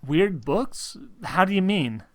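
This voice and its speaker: Man playing a puzzled, hesitant woman, slightly raised pitch. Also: animated. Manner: hesitant, halting. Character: puzzled woman locked in a room, mildly hesitant.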